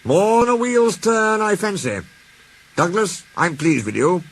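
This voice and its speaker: A man's voice: sits high, very animated, unhurried, pitched above the performer's own voice, exaggerated voice. A man voicing a jovial, pompous railway controller in a storybook, hearty and full of authority. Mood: pleased.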